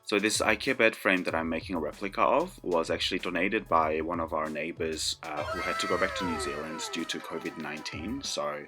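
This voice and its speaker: A young Korean-born man talks to his camera in a casual, rambling way, filling gaps with ums and uhs. His voice sits low and lands from a little way off the mic. The room rings with a strong echo, and soft music plays underneath. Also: a little fast, few pauses, recorded from a distance. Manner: rambling, self-conscious, many fillers. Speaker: DIY vlogger. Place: echoey room alone with a camera.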